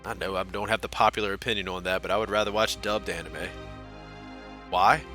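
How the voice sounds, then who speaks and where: A man talks loosely to his viewers between bursts of gameplay, rambling and poking fun at himself. His voice sits a bit low but rings bright and forward. Quiet game music plays underneath. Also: steady tone, brisk. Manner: chatty, self-deprecating, answers chat. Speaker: speedrunner streamer. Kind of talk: casual live-stream chat while gaming.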